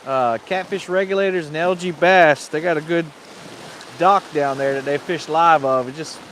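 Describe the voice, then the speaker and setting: A man's voice: a little high, normal speed, fairly bright tone. A man, chatting easily outdoors on a windy lake bank and reading out viewer comments. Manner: easygoing, reads out viewer comments. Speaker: guest reading chat. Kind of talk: casual livestream chat.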